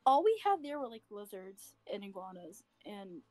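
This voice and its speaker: Lively, laughing woman guest. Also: mid-range voice, normal speed, dry recording. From Puerto Rico. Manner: lively, laughs often, rambling. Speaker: guest artist. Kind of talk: casual gaming stream chat.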